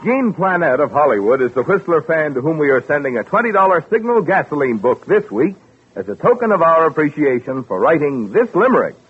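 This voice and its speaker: 40s radio announcer guy doing an ad, salesy and folksy, lively highish voice, muffled old recording. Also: brisk. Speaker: commercial announcer. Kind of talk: 1940s radio ad read.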